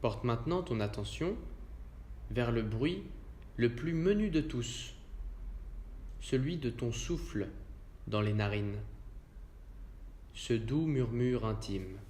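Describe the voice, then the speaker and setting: A man leading a guided relaxation as a yoga instructor, speaking calmly and in measured, short, spaced phrases. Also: mid-range voice, a little hiss or hum. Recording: roomy echo around the voice.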